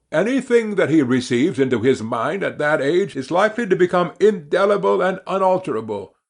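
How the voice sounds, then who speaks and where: A man narrating a classical philosophical dialogue as an audiobook reader, in a measured, formal manner. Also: on the high side.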